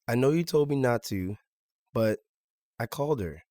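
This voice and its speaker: A man's voice: mid-range voice, expressive, normal speed, warm tone, no echo, clean studio sound, natural voice. A man playing an earnest, stubborn seventeen-year-old son in a naturalistic audio drama, polite but insistent. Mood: earnest, confiding.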